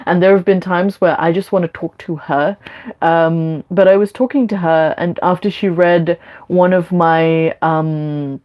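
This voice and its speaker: A woman's voice: lowish voice, steady tone, unhurried, highs cut off. A young woman live streaming, talking candidly and rambling with many fillers and restarts.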